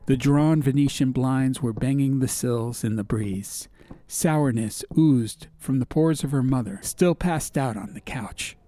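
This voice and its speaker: A man's voice: mid-range voice, unhurried, warm tone, no echo. A man reading an atmospheric story as an audiobook narrator, in a scripted literary style.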